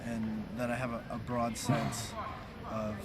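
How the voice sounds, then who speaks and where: A man's voice: medium pitch, monotone, measured pace. A teenage boy answering interview questions, hesitant and searching for his words. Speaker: high school student. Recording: loud background noise outdoors.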